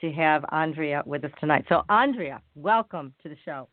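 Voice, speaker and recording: A woman's voice: deep voice, lively intonation, normal speed, dry recording. Gushing talk-show host woman, tinny line. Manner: enthusiastic, gushing praise. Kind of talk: casual interview chat.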